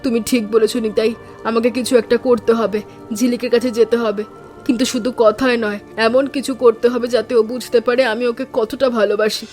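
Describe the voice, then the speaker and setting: A woman voicing a caring, worried village aunt in an animated story, speaking tenderly and in a measured, homely way. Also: on the high side, faint music behind, close to an everyday voice. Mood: troubled, reflective.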